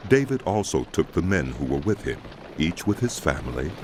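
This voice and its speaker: A man narrating dramatized scripture in a solemn, measured way, phrase by phrase, over music. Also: extremely low pitch, sing-song, noisy background. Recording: loud music bed under voice.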